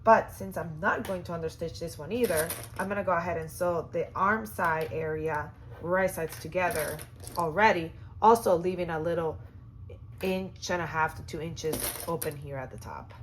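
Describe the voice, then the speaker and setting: A woman hosting a sewing tutorial, chatty and upbeat with lots of fillers, her voice hoarse and cutting in and out. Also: lowish voice, normal speed, a little hiss or hum. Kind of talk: casual how-to demonstration.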